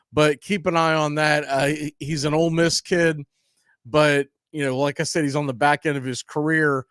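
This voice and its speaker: A man's voice: fairly high pitch, dry recording, clean studio sound. An American man giving casual, rambling live pregame baseball commentary as the host of a stream. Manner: informal, conversational, rambling.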